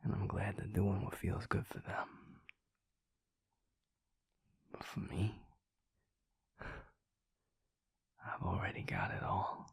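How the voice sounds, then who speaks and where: Man acting a whispery, gravelly, devoted boyfriend. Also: low voice, steady tone. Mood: loving, earnest. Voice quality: breathy, gravelly. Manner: hushed, halting, heartfelt, soft-spoken. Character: devoted werewolf boyfriend, tender, earnest adult man. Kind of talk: intimate boyfriend audio roleplay.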